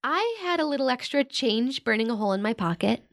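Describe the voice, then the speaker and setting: Lively, high-voiced, frank young American sister. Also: female voice, fairly quick. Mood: reassuring, confiding. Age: young adult. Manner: casual, playful, frank. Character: blunt, teasing, loyal young tomboyish sister. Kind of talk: naturalistic modern audio drama acting.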